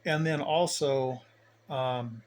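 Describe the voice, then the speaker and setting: A man's voice: medium pitch, slow pace. A man giving a casual, unscripted tour of his hobby gear, rambling and thinking aloud in a folksy way. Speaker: hobbyist radio operator giving a station tour. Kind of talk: casual unscripted equipment walkthrough. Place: indoors at home radio bench. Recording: noticeable background noise, home video.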